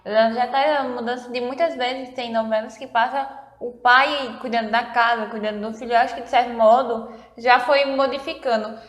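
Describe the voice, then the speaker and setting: Female co-host, conversational and inquisitive, fairly high voice, reverberant room. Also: steady tone, moderate pace, few pauses. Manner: conversational, asks questions. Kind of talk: casual chat. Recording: echoey room with long reverb.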